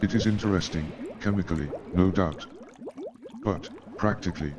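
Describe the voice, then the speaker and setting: A man's voice: sits low, normal speed, a crowd in the background, lightly acted. A man playing a blunt, sardonic doctor in a humorous radio play, with dry, mock-earnest sarcasm. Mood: surprised, polite. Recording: clear background noise.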